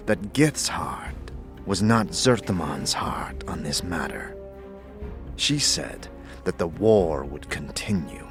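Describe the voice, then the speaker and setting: A man playing a solemn warrior-monk mentor, speaking in a grave, formal, measured way as in a fantasy audio drama. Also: deep voice, exaggerated voice.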